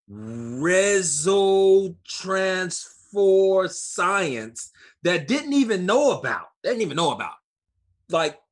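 Actor doing a grandiose phony guru professor, slow halting delivery, big swoops in pitch, comic lecture. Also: fairly bright tone, full character voice. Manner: pompous, halting, grandiose pauses. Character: pompous self-styled guru professor angling for donations.

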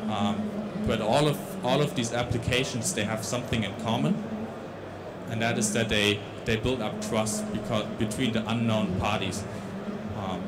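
A man's voice: mid-range voice, normal speed, heavy background noise. A young man with a Dutch accent giving a tech conference talk on a large stage into a handheld mic, with many restarts and fillers. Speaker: conference speaker.

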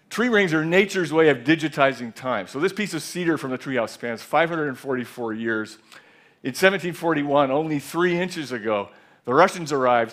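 A man in his sixties telling a measured, reflective story in a talk on a large theater stage, with a headset mic. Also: medium pitch, a bit bright. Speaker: speaker. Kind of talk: conference talk.

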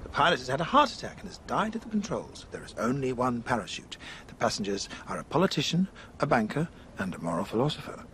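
A man's voice: mid-range voice, sing-song, fast, fairly bright tone, slight background noise. An actor playing a fussy, pompous male philosophy teacher with a theatrical lecturing manner in a radio drama.

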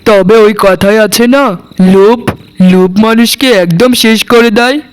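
A man's voice: high-pitched, a little slow, smooth. A man voicing a meek, honest husband who plaintively admonishes, in a cartoon. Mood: resigned, reproachful. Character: meek, injured husband, honest, reproachful and moralizing. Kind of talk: Bengali cartoon voice acting.

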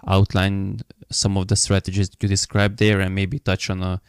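A man hosting a podcast interview, speaking in a measured way with frequent fillers. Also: deep voice, completely flat delivery, smooth, dry recording, clean studio sound. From Hungary. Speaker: podcast host.

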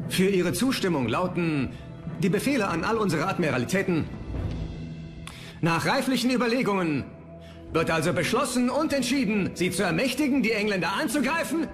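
A stern statesman of a bygone age in a historical audio drama. His voice is fairly high and swings widely as he declaims formal orders, with faint music behind him. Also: expressive, a little fast. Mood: resolute. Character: stern Dutch statesman giving war orders. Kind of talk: historical docudrama radio play acting.

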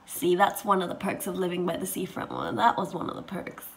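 A woman in her twenties chatting casually to her phone in a small bedroom, full of "like" and little giggles, her voice a bit croaky. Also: on the low side, little pitch movement, a little fast. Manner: chatty, lots of "like", giggly asides. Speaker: vlogger. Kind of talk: casual vlog chat. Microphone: phone held at arm's length.

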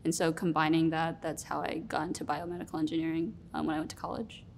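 A young woman in her twenties, answering interview questions in a relaxed, reflective way with many fillers. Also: low-pitched, fast talker, vocal fry, slight background noise. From Rhode Island, US. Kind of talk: sit-down interview. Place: studio room with plants.

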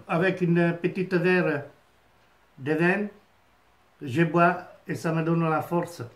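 A man in his fifties with an Italian accent, chatting haltingly to camera from a small home office, with many fillers and restarts. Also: a little high, fairly even intonation. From Italy. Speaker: vlogger. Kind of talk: casual rambling monologue to camera. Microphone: phone camera on a stand at desk.

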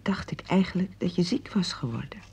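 A woman playing a gentle, probing wife in a Dutch radio play, soft and tentative. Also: fairly low pitch, lively intonation, a little fast, gravelly, whispered, dull audio. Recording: noisy old radio play recording.

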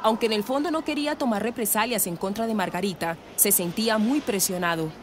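A woman's voice: medium pitch, brisk, slight background noise. A woman with a Colombian accent reading scripted narration for a radio drama.

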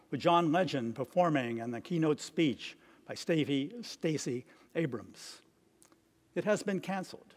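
A man in his seventies preaching a sermon from notes as a priest, in measured, deliberate phrases with pauses. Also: a little high. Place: stone church, at a lectern.

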